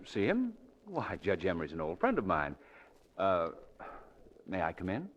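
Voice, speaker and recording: An actor in a 1940s radio drama playing a calm, commanding gentleman sleuth. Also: low voice, moderate pace, a little hiss or hum. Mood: polite, casual. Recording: dull old 1940s radio recording.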